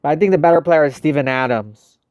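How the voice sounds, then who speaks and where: Man with a clear, smooth voice. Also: fairly high pitch, fast, darkish tone, dry recording. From United States. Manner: chatty, answers viewers' messages, thinks aloud. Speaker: livestream host. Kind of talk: casual livestream gameplay chat.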